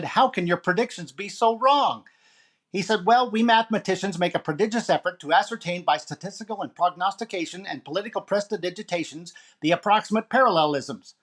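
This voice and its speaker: A man's voice: high voice, fairly bright tone. A man playing a wisecracking comedian, delivering a topical monologue in 1940s radio comedy style. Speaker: actor playing a comedian host.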